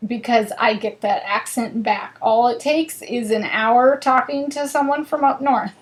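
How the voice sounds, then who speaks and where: A woman's voice: a little high, a little slow. A woman with a northern US accent, chatting to viewers as she hosts a live card-making class. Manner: chatty and bubbly, chatting with viewers while working. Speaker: craft class host. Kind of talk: live crafting demonstration.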